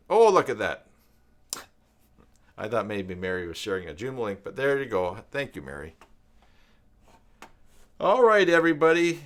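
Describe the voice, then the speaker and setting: A man with a lowish voice chats casually as a live stream host. His intonation is very lively, rising and dropping often. He talks in a halting, wandering way, working through his thoughts aloud. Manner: halting, rambling, thinking aloud. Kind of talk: casual live stream chat, partly reading web pages aloud.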